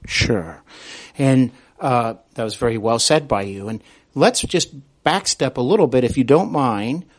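A man hosting an interview in a conversational, courteous way, with deliberate, halting phrasing. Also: mid-range voice, expressive. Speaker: podcast host, interviewer.

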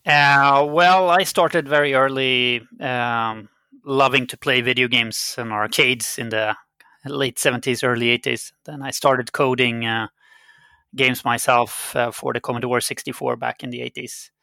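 A middle-aged man from Sweden, a native Swedish speaker with a Swedish accent, answering interview questions conversationally in a halting, hesitant way. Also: mid-range voice, fairly even intonation, creaky, bright, forceful tone, clean tone, no echo. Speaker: interview guest, game company CEO.

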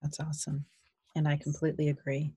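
A woman's voice: sits low, completely flat delivery, quick pace, dark tone, breathy edge, dry recording. A woman co-hosting an interview, asking eager questions and reacting with enthusiasm. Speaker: podcast co-host. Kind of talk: casual interview chat.

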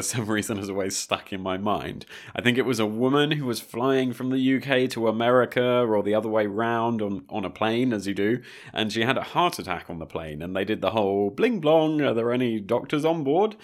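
An Englishman co-hosting a history podcast. His voice sits a little low, and he spins his anecdotes in a relaxed, chatty way. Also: a little fast. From southern England. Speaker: podcast co-host. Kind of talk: casual chat.

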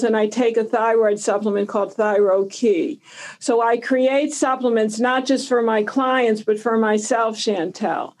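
A woman in her seventies speaks as an expert guest on health. Her voice sits a little high, and she explains things in an emphatic, instructive way. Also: darkish tone. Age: 70s. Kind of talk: explanatory interview answers.

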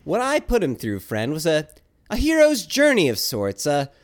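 A woman playing a proud, teasing, theatrical smooth talker in a comedic audio drama. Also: sits low, huge pitch swings, moderate pace. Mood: grandly smug.

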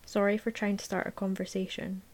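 A woman's voice: medium pitch, flat delivery, brisk, natural voice. A wry, joking young man , played by a woman reading aloud. He teases with a mock-offended, dry humor. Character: teasing young man student at a party.

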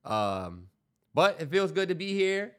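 A man's voice: sits high, very animated, unhurried, a bit bright, smooth. A man co-hosting a podcast, talking late at night, tired, laid-back and teasing in casual banter. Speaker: podcast co-host.

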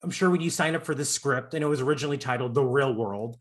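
A man's voice: medium pitch, quick pace. A man around forty from the American South, hosting a casual celebrity interview in an effusive, campy, joking way. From born in Long Island, raised in Florida. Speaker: interviewer host.

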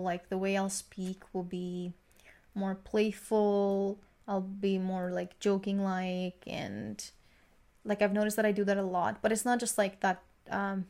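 A young woman with a slight Greek accent talks casually on a podcast. Her voice is strongly creaky and stays within a fairly flat range of pitch. She explains her thoughts in a rambling, reflective way. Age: young adult. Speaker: podcast co-host. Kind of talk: casual conversation, sharing work experiences.

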